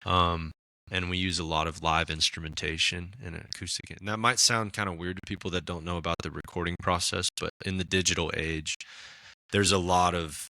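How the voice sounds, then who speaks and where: A raspy-voiced man in casual podcast chat, talking about his music with lots of fillers and restarts. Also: sits low, steady tone, a little fast, bright, forceful tone, no room sound. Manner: rambling, many fillers and restarts. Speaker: musician guest.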